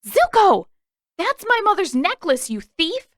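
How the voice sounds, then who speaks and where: A woman's voice: very high-pitched, very animated, unhurried, fairly bright tone, no echo, studio quality, pitched above the performer's own voice, full character voice. A woman voicing a hot-tempered teenage girl who is defiant and sarcastic, in an audio fan fiction story. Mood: indignant, shouting. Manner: sarcastic, indignant.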